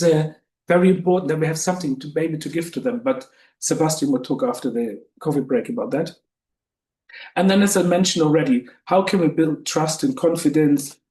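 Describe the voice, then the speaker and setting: A man hosting a webinar, presenting with many pauses and restarts, his voice going hoarse. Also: on the high side, a little mellow, reverberant, low-quality recording. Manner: halting, many fillers and restarts. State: losing his voice. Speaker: webinar host.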